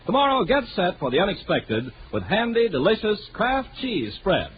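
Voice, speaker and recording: A man's voice: sits high, huge pitch swings, normal speed, loud music behind the voice. An American man reading a scripted 1940s radio advertisement as the announcer. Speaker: commercial announcer. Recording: muffled, very noisy 1940s radio recording.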